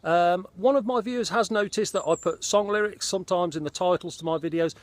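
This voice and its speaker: A man's voice: high-pitched, a little fast. Congested man in a chatty boat vlog. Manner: chatty, explains step by step. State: congested with a cold. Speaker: vlogger. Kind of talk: casual DIY vlog. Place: on a narrowboat.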